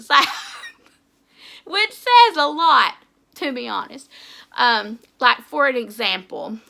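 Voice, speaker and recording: A woman's voice: on the high side, gravelly, bright, forceful tone. A young woman with an Appalachian English accent, chatting casually to camera about her goals, talkative and laughing often at herself. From Tennessee. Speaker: author and book YouTuber. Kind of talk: casual vlog chat to camera.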